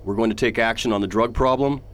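A man playing a grave, authoritative galactic council leader in a science fiction radio drama, deliberate and formal in his commands. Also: mid-range voice, steady tone, close to an everyday voice. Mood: measured, determined.

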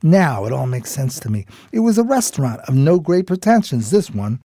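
An actor playing a streetwise, homeless New buddy, narrating a radio drama in folksy, admiring slang. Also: male voice, mid-range voice, big pitch swings, normal speed, warm tone, no echo, studio quality. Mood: wry storytelling.

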